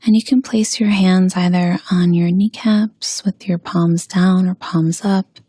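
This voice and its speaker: A woman leading a guided meditation with gentle spoken instruction, pausing slowly and soothingly in a breathy, husky voice. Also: fairly low pitch, fairly even intonation, no room sound, studio quality.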